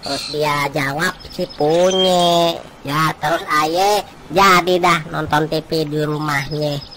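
Man voicing a hapless, higher-pitched village freeloader. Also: fairly even intonation, slow, heavy background noise. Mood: complaining. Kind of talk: comic cartoon dialogue.

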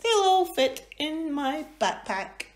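A woman's voice: sits high, sing-song, takes it slowly, pitched above the performer's own voice, heavily acted. A woman voicing a giggly, mischievous young pine marten with a sing-song, squealing manner. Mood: chirpy.

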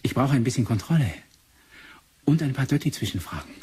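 A man's voice: medium pitch, very animated, fairly quick, darkish tone, slightly rough. Grumbling male researcher. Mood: businesslike. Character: grumbling, reluctant male neuropsychology researcher and doctor. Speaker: researcher character in a radio play. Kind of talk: 1980s German radio drama acting.

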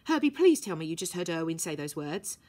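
A woman voicing an earnest young runaway girl in an audiobook, breathless and emphatic. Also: fairly quick. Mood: eager. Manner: breathless, emphatic, high and excitable.

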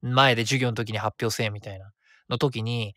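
A man around forty, hosting his own web radio show in a chatty, rapid way that stays easy to follow. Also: medium pitch, steady tone, pushed and bright, no room sound, clean studio sound. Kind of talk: casual solo radio talk.

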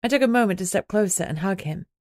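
A woman's voice: mid-range voice, lively intonation, fast talker, darkish tone, dry recording. A woman reading scripted first-person audiobook narration.

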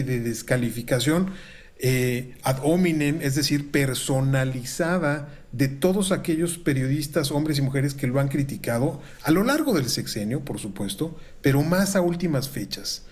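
A middle-aged Mexican man, a university researcher, giving measured, formal analysis from a room at home over a video call, with frequent filler pauses. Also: medium pitch, darkish tone. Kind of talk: analytical commentary. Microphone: video call webcam.

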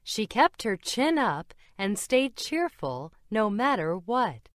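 A woman reads a children's story aloud with very expressive intonation. She takes it slowly, in a dry, close recording. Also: medium pitch, no room sound. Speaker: storybook narrator. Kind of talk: scripted children's read-aloud narration.

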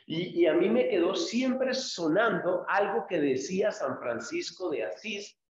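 Pastor man teaching, slightly high voice, heavy echo, dull lo-fi sound. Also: lively intonation, measured pace. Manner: explains patiently, addresses listeners directly by name. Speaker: pastor teaching a church group. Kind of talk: instructional talk to a small group. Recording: very echoey, dull lo-fi room.

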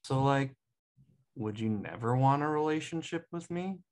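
A man's voice: mid-range voice, animated, moderate pace, a little mellow, lightly acted. An American man playing a casual, defensive buddy in a sitcom table read. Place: dim indoor room, on a video call. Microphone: video call microphone.

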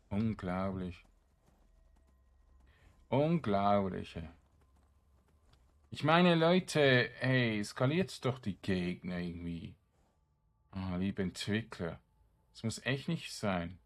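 A man with a fairly low voice commentates on a video game. He talks very slowly and casually, muttering to himself. His delivery is that of an exasperated, grumbling player. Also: animated. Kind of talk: casual let's-play commentary.

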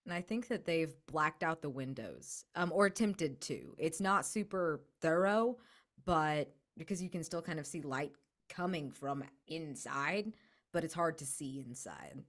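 A young adult woman plays a tabletop game in casual talk. Her voice sits a little low. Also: expressive, unhurried. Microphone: large desk microphone, video call.

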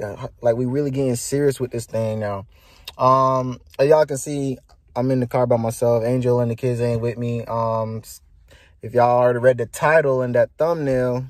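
Casting: young American male vlogger, average pitch, slangy playful banter into a phone, very little room sound. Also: level tone, normal speed, no echo, studio quality. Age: young adult. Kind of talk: casual chat to camera. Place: inside a parked car, later a bedroom. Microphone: phone at arm's length.

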